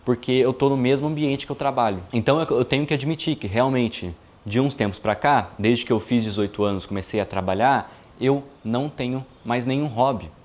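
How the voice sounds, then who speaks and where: A man in his early twenties, a video editor, talking casually to his viewers in a relaxed, chatty way with frequent filler tags. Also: medium pitch, fairly quick, hardly any pauses. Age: 20s. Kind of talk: casual vlog monologue.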